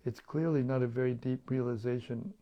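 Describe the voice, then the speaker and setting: A hoarse man losing his voice, hosting a daily scripture reading in a relaxed, chatty way over an online video stream. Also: mid-range voice, brisk, highs cut off. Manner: relaxed, chatty, with light chuckles. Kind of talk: casual talk and Q&A on scripture.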